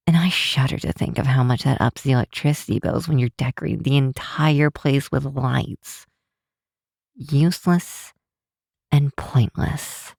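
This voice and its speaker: A cynical grinch girl, acted by a woman for ASMR roleplay, with a dark, husky voice. She speaks slowly and sardonically, leaning on words, yet has an affectionate streak underneath. The sound is close and very dry. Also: deep voice, no echo, exaggerated voice. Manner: sardonic, exasperated, drawn-out emphatic words. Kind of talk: ASMR roleplay, scripted acting to the listener.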